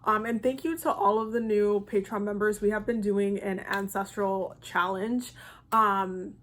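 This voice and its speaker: A woman's voice: medium pitch, steady tone, measured pace. A young woman from the Dominican Republic, talking casually to her viewers in a loose, rambling way with many fillers. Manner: loose and chatty, many ums and restarts. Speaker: spiritual content creator. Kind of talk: casual vlog talk to viewers.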